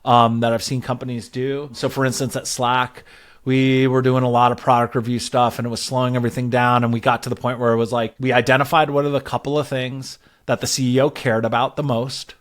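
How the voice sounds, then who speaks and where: A man's voice: mid-range voice, flat delivery, a little fast. A man speaking as an interview guest, explaining work ideas conversationally and often checking in with "right?". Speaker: interview guest, product and growth leader.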